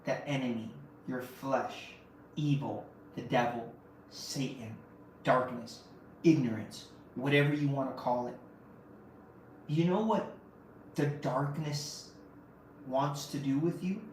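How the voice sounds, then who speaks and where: A man's voice: mid-range voice, takes it slowly, pauses often, slight background noise. Impassioned preacher-like man in an echoey room. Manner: preacher-like, repeats phrases and rhetorical questions for emphasis. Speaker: podcast host. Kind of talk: impassioned faith-based motivational talk. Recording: very echoey room, mic at a distance.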